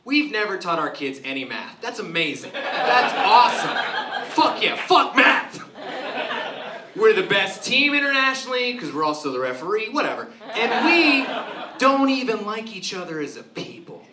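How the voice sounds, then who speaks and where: A stand-up comedian from Romania performing a live club set, delivering dry set-ups and jumping into loud, shrill voices for his punchlines. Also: very animated, moderate pace, distant mic. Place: live club show with audience laughter. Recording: roomy club sound from afar, audible noise.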